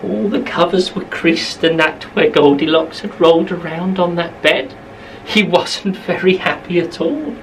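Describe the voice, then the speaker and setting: A woman's voice: on the low side, a little slow, far from the mic, slight background noise, low-quality recording. A woman telling a bedtime story to young children, expressively, repeating phrases for emphasis, slightly away from the mic.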